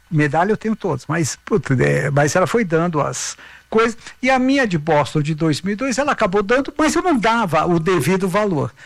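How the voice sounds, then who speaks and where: A man's voice: sits high, no echo. A Brazilian man in his fifties, a marathon runner, telling stories animatedly in a casual interview. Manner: animated, acts out quoted voices.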